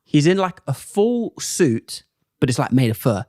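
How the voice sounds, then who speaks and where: A young man and comedian, chatting casually as a podcast guest and telling animated stories in which he acts out other people's lines. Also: a little high, a little slow, dry recording, studio quality. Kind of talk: casual chat, storytelling.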